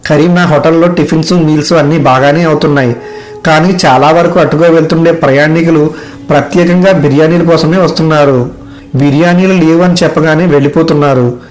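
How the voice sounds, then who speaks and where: A man playing a kind, hardworking village eatery owner in an animated moral story, polite and obliging. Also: a little high, a little fast, soft background music, close to an everyday voice, rough recording. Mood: earnest.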